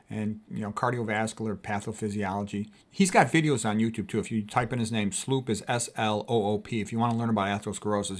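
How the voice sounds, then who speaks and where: A man, a physician, explains points in an informal lecture, with an emphatic, opinionated manner and plain repetition. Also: mid-range voice. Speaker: physician presenting health lecture, author. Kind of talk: informal explanatory lecture reading and commenting on quotes.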